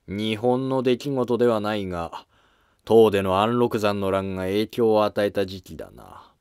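A man playing a driven, matter-of-fact court scholar in a historical drama, speaking in measured lines. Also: on the low side, fairly even intonation, a little mellow, clear, resonant tone, no echo, studio quality, lightly acted. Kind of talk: scripted historical drama dialogue.

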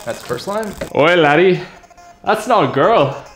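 A man's voice: high-pitched, lively intonation, measured pace, fairly bright tone, faint music behind. A young man playing a scolding, mocking friend with a needling, exclamatory manner. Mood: scornful. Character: scolding, mocking male friend barging in with "laddie".

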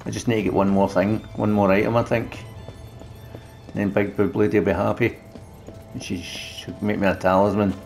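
A man's voice: on the low side, level tone, moderate pace, vocal fry, long pauses, darkish tone, faint music behind. A Glaswegian man casually commentating his gameplay, crude and joking, talking to himself and his viewers. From Scotland. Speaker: gameplay streamer. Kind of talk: casual let's-play commentary.

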